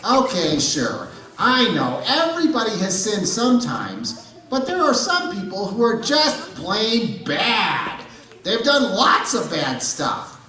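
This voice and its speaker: A man voicing a naive, cocky and excitable puppet sidekick in scripted comedy, with theatrical, drawn-out emphasis. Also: sits high, heavily acted. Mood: incredulous. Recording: echoey room, distant from microphone.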